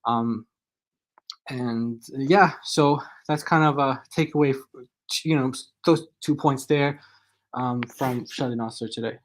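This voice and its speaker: A man's voice: mid-range voice, unhurried. A man talking casually and off the cuff as a guest on a religious discussion show, often repeating and restarting his phrases.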